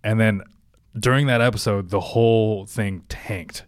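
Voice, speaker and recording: A young man co-hosting a podcast, chatting casually and hesitantly, with many pauses and fillers. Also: fairly low pitch, steady tone, clean tone, no echo, clean studio sound. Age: young adult. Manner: hesitant, lots of uh and um. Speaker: podcast co-host. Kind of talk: casual chat.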